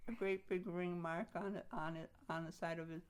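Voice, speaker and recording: Older woman from Slovakia, lowish voice, reminiscing. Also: fairly even intonation, clean tone. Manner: halting, repeats phrases, chuckles while recalling. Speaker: interviewee. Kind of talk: casual reminiscing in an interview.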